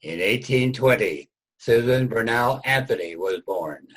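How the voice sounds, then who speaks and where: A man giving scripted historical narration in a formal, measured way. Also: mid-range voice, expressive, a bit bright, husky, low-quality recording.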